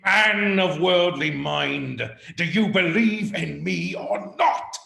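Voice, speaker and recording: Radio play spectre: tormented dead businessman, solemn delivery, big swings in pitch, roomy echo. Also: expressive, creaky, pushed and bright, heavily acted. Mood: mournful, anguished. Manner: slow, solemn, dramatic. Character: anguished, wailing ghost of a dead miserly business partner. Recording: roomy, echoing sound.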